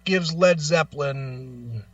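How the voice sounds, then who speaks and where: Male talk host, high voice, profane and exasperated opinion delivery, dull dry recording slightly off-mic. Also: slow pace, fairly bright tone, recorded from a distance. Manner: blunt, profane, exasperated, emphatic. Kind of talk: opinionated casual radio talk.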